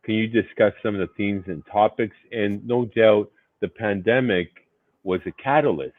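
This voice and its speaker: A man's voice: on the low side, moderate pace, no room sound. A man hosting a formal interview series, reading introductions and putting questions to a guest. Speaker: interview host, organization CEO. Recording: muffled phone-like audio.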